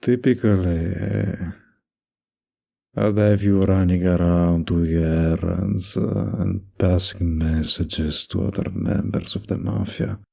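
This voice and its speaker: Man playing a deep, gravelly, slow-talking mafia boss. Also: fairly even intonation, mellow, exaggerated voice. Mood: calm, wry. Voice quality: low, gravelly, husky.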